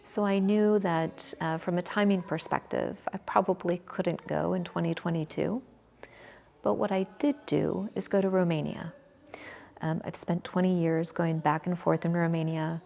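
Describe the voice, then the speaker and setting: An American woman in her forties from Michigan, answering interview questions in a measured, thoughtful way with frequent pauses. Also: on the low side, creaky, darkish tone. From Michigan, USA. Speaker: interview guest, volunteer. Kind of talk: conversational interview.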